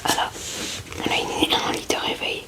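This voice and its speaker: A woman whispering ASMR commentary in a breathy, hushed murmur, close to the microphone. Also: normal speed. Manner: hushed, murmuring short asides to viewers. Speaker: ASMR creator.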